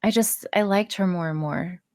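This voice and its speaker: A woman's voice: lowish voice, normal speed, no room sound, studio quality. A woman chatting casually as a podcast guest about her own work, blunt and freely swearing. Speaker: podcast guest, audio writer and voice performer. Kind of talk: casual interview chat.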